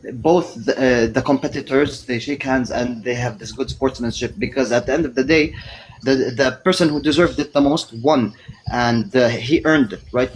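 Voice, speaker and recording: A man from Palestine with a voice of middling pitch talks politics in a casual online call. He speaks in a measured, thoughtful way, pausing often to gather his words. A faint hiss of background noise sits under his voice. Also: rough recording. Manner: measured, thoughtful, many pauses and fillers. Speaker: guest.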